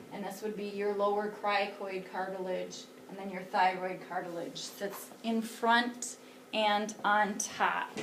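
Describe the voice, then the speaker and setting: A woman's voice: medium pitch, slight background noise. A woman teacher giving a classroom lecture, explaining step by step and pointing to pictures on slides. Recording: roomy, a bit far from the mic.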